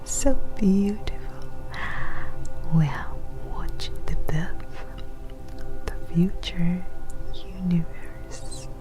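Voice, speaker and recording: Very slow whispering woman. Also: on the low side, steady tone, over music. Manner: hushed, breathy whispering with lingering pauses between short phrases. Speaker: ASMR performer. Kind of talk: whispered ASMR narration.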